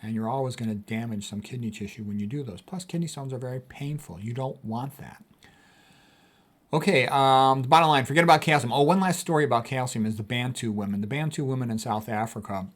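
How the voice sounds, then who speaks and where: A man's voice: mid-range voice, a little fast. A man giving an informal explanatory health lecture as a physician, blunt and emphatic, repeating his key claims.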